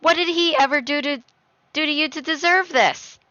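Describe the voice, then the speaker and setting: A woman voicing a grieving, defiant teenage daughter in a dramatic story reading, halting and emotional. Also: medium pitch, expressive, a bit bright, no room sound, lo-fi sound, natural voice. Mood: angry, hurt. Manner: halting, pleading, rising to heated outbursts.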